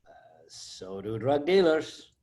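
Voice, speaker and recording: A man's voice: on the high side, big pitch swings, slow, a little mellow. A man playing a cheeky, laid-back sidekick in a comic table read, slangy and full of wisecracks. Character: cheeky, laid-back male sidekick teasing his buddy.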